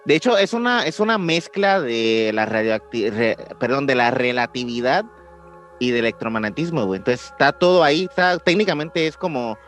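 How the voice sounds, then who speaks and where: A man's voice: mid-range voice, expressive, moderate pace, fairly bright tone, faint music behind. A young Mexican man co-hosting a podcast, talking casually and hesitantly with many restarts. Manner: hesitant, restarts often, slangy. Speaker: podcast co-host. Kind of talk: casual chat.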